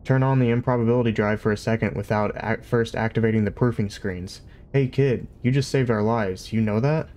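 A man voicing a brash, excitable space adventurer in a storybook reading, demanding and loud-mouthed. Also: lowish voice, fairly quick, natural voice.

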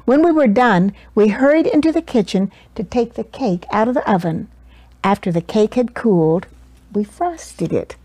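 An older woman reading a children's picture book aloud in an expressive, deliberate and dramatic storytelling manner. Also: on the high side, slightly rough. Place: small cosy living room indoors. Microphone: small clip-on lapel mic.

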